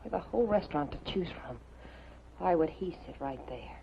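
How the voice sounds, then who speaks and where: Lively, insistent newspaperwoman. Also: fairly low pitch, normal speed, vocal fry. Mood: uneasy. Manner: prim, insistent, scolding. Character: sharp-tongued, worried, know-it-all female newspaper colleague. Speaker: actress. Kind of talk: 1950s American TV drama acting. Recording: muffled, noisy 1950s television soundtrack.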